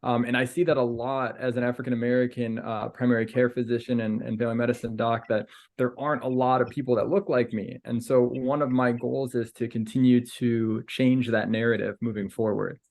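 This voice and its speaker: A man, a family physician, speaking on a panel in a relaxed, earnest storytelling way over a video call. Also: mid-range voice, fairly quick, gravelly. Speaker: family physician, program director. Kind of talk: casual panel talk.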